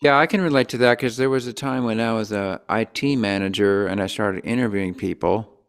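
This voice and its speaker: A man hosting a casual podcast interview, playful and quick to riff on jokes. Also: on the low side, smooth. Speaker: podcast host.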